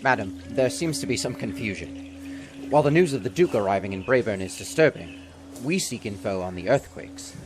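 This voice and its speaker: A man's voice: fairly low pitch, expressive, brisk, soft background music, lightly acted. An actor playing a skeptical councilman in a fantasy radio drama, formal and insistent. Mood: impatient.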